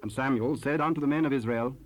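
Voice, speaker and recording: A man narrates the Bible in a formal, measured style. His voice sits at a middle pitch, on an old cassette transfer with faint hiss. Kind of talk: scripted scripture narration. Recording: hissy 1970s cassette rip.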